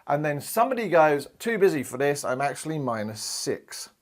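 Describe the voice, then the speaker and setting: Lively, chatty male maths teacher. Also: a little high, moderate pace. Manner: lively, chatty, acts out quoted voices. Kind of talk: recorded maths lesson. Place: indoor studio in front of a screen.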